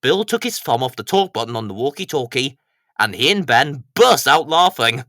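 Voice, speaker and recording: A man's voice: medium pitch, big pitch swings, moderate pace, bright, forceful tone, no echo. A man narrating a scripted children's story as storyteller.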